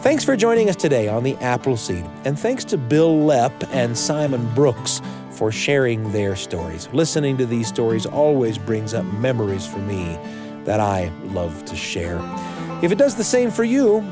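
Male radio host, scripted outro, lively melodic delivery with clear guitar music underneath. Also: medium pitch, normal speed.

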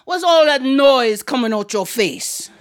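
A woman storyteller acts out a towering, regal old-school aunt. She is stern and blunt, commanding in a deep voice, with a teasing edge. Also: lively intonation, unhurried.

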